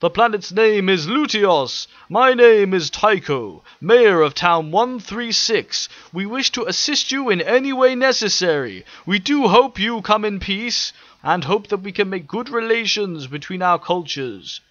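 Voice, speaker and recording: A man's voice: high voice, lively intonation, a little slow, hardly any pauses, a bit bright, dry recording, lo-fi sound, voice pushed up. A man voicing a small alien town mayor who speaks formally and politely. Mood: diplomatic.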